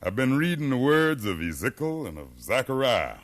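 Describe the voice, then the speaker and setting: An actor in a 1950s radio drama playing a determined, educated freed slave who leads rebels with fervent, persuasive speech. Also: male voice, mid-range voice, big pitch swings, normal speed, close to an everyday voice. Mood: solemn, intense. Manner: fervent, persuasive, preaching cadence.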